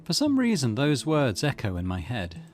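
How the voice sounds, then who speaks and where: A man's voice: medium pitch, wildly swooping pitch, normal speed, faint music behind. A man hosting a let's play, chatting casually and musing aloud as he comments on a game. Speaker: gaming video host.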